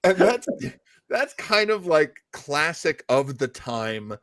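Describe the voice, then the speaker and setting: A man's voice: a little high, very animated, takes it slowly, a bit bright, no echo, clean studio sound. A man hosting a casual panel chat, explaining and retelling old comedy bits. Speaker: show host.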